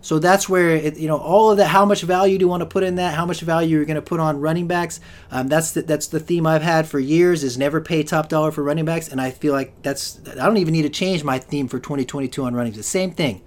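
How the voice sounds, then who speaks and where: A man's voice: fairly high pitch, fairly even intonation, quick pace. A man hosting a solo fantasy football podcast, rambling with lots of restarts and fillers, and he is losing his voice. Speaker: podcast host.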